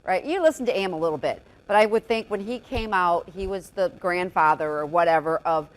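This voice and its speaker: A woman interviewing a podcaster, chatty and loud-voiced, often interjecting and restating ideas. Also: on the low side, brisk, rough recording. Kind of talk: conversational interview.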